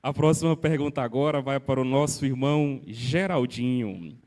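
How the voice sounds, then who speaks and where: Male moderator, mellow tone, reads viewer questions to the panel. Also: mid-range voice, moderate pace. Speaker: live show moderator.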